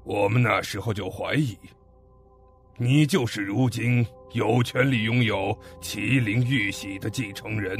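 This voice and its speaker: A man playing a stern father in an ancient-era audio drama, speaking gravely and with authority. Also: lowish voice, soft background music, natural voice. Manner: slow, grave, commanding. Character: stern father of a young heir, ancient China. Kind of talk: fan audio drama acting.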